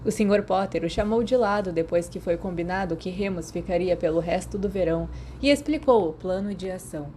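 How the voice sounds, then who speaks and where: Brazilian female audiobook narrator, slightly low register, noisy home-recorded sound. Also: dark tone. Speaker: podfic narrator. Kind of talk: scripted audiobook narration of fan fiction.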